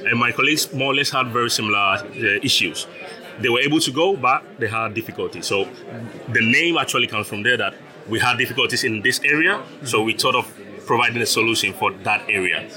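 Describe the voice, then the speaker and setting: A man's voice: mid-range voice, fast, pushed and bright. A young man from Ghana, a startup founder, explaining his business as an interview guest, patient, with frequent laughs and repeated words.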